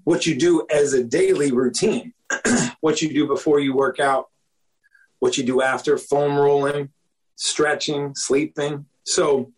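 A man in his forties, a doctor, talking casually in an interview, often checking in with "okay?" and "you know?" and clearing his throat now and then. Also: mid-range voice, rough recording. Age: 40s. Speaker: doctor, guest.

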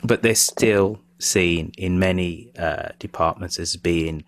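A man hosting a political podcast, speaking in a measured, thoughtful way. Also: deep voice, dry recording. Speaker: podcast host.

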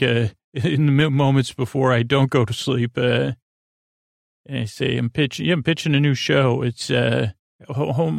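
A man hosting a sleep podcast, rambling gently in a soothing, creaky drawl full of fillers and self-corrections. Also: dry recording, studio quality. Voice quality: creaky, low-key drawl.

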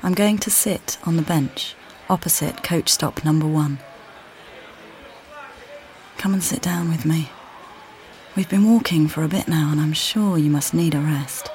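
An actress playing a weary, displaced mother who calmly guides the listener in a walking play, speaking plainly and directly to them. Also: low voice, unhurried, long pauses, a little hiss or hum. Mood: reflective. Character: displaced mother, weary and uncertain, far from home. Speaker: audio guide narrator. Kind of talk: immersive walking-play narration.

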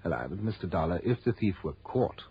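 Fussy genteel male art dealer, animated intonation, formal and hesitant, dull old radio sound. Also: low-pitched, fast talker, slightly rough, no echo, full character voice. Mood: hesitant, speculating. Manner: prim, formal, hesitant phrasing. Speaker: supporting actor. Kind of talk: 1960s radio drama acting.

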